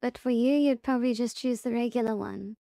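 A woman presenting a casual software tutorial step by step, unhurried and thinking aloud as she goes. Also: a little high, steady tone, creaky, no echo, studio quality.

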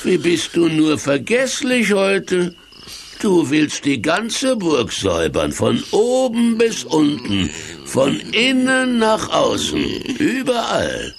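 An actor playing a villain's sly, mock-sweet sidekick in a children's audio drama, drawling and gloating. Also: male voice, a little high, huge pitch swings, slow, gravelly, heavily acted. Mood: gleeful, teasing.

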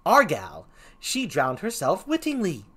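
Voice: mid-range voice, huge pitch swings, unhurried, a bit bright, husky, voice pushed up, exaggerated voice. A performer voicing a cocky male clown gravedigger in a stage play, pompous and mock-learned as he declaims. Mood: pompous, declaiming.

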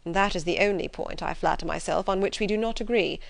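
A woman voicing a sardonic middle-aged gentleman father in a classic novel audiobook, formal and measured with dry irony. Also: lowish voice, gravelly, dry recording. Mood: dry, critical.

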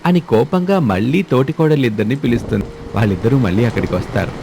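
A man's voice: mid-range voice, lively intonation, fast, background noise. A man narrating a scripted story as its storyteller.

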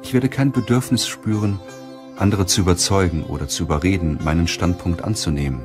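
A man reading a self-help audiobook in a measured, deliberate way with pauses. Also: low-pitched, over music. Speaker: audiobook narrator. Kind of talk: scripted audiobook narration of a self-help book.

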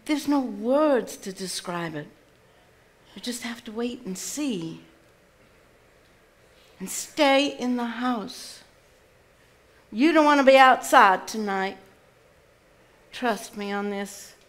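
A woman's voice: fairly high pitch, expressive, takes it slowly, long pauses. A woman playing a stern, bossy housekeeper in a stage play, with a folksy Southern US accent and an emphatic manner. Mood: ominous warning. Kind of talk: live stage play acting.

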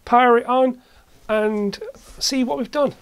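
Someone talking slowly thru a repair job, casual, kinda hesitant, thinking out loud, mid pitch. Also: fairly even intonation, smooth, dry recording. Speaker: repair video maker. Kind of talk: casual hands-on repair commentary. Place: indoor electronics workshop.

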